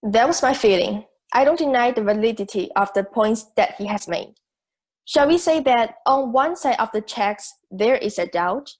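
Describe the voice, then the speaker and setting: Young woman playing self-assured female juror, fairly high voice, measured, articulate, amateur audio drama. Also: animated, darkish tone. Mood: insistent. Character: logical, self-assured juror, a married woman open to reason. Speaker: student actor in school drama class.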